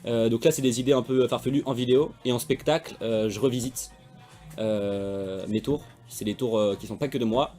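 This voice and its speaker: A man's voice: medium pitch, normal speed, warm tone, faint music behind. A young man from France, a magician guest, chatting casually in slangy, rambling talk. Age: young adult. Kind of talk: casual interview chat.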